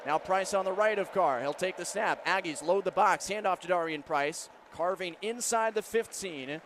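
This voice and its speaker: A high-pitched American man works as a radio football announcer. He describes each play crisply and builds to excited calls. Clear outdoor stadium noise runs behind his voice. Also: normal speed, a faint crowd. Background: outdoor stadium ambience.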